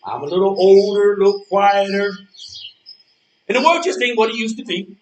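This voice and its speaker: A man acting out a bitter older fellow on a park bench in a stage play. His voice sits high for a man, and he lays out menace slowly and folksily. It sounds roomy and set back from the microphone. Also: a little hiss or hum, natural voice. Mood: brooding resentful. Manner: slow deliberate threats, folksy colloquial phrasing. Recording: roomy, echoey, distant from microphone.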